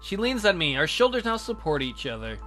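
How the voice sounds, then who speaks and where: A man hosting a let's play, reading a visual novel aloud in a theatrical, expressive way. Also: sits high, brisk. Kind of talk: dramatic read-aloud of a visual novel, with casual asides. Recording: music behind the voice.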